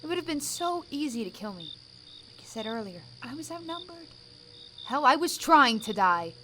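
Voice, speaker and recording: A woman's voice: high voice, big pitch swings, normal speed, a bit bright, a little hiss or hum. A woman playing a defiant, guarded outlaw soldier in an audio drama, blunt and sardonic in delivery.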